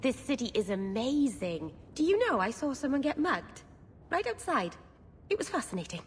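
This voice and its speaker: High-voiced, wide-eyed young woman. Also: sing-song, normal speed, a little hiss or hum. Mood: excited wonder. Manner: chatty, enthralled. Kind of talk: dramatic game cutscene acting.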